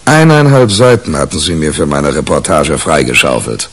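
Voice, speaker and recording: An actor playing a wry, businesslike tabloid reporter who narrates and talks in a radio crime drama. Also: male voice, rumbling low, big pitch swings, normal speed, no room sound, exaggerated voice. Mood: wry, detached. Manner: wry, detached, detailed storytelling. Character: wry, businesslike male tabloid reporter telling his story. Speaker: narrator and lead character, a newspaper reporter.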